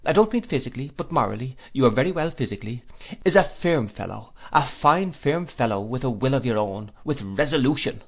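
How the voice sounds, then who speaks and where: A man voicing a brisk, strong-willed elderly aunt in an audiobook, speaking decisively and with emphasis. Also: mid-range voice, expressive. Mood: emphatic. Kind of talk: audiobook character reading.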